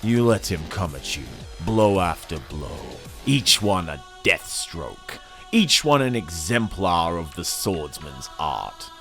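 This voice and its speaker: A man reading a dramatic second-person story excerpt in a grave, theatrical, deliberate manner. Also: sits low, sing-song, fairly bright tone. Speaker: narrator reading a novel excerpt. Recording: dramatic music under the voice. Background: cinematic soundtrack music.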